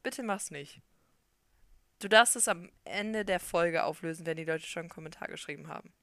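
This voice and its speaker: A young woman co-hosting a podcast in casual chat, talking quickly and laughing often. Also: lowish voice, sharp tone, dry recording, studio quality. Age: young adult. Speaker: podcast co-host.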